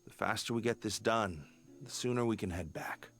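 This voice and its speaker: A man voicing a gruff, pessimistic, dutiful guard captain in a video game, terse and sober. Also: fairly low pitch, normal speed, a bit bright. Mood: curt, sceptical.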